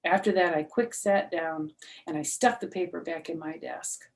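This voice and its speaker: A woman's voice: on the low side, moderate pace. A woman reading a children's book aloud expressively as a storyteller, with explanatory asides.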